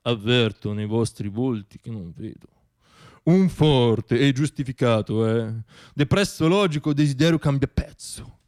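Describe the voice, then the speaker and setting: A man's voice: mid-range voice, expressive, measured pace, darkish tone. A man performing a theatrical comic monologue as a storyteller, wry and self-deprecating, repeating words for effect.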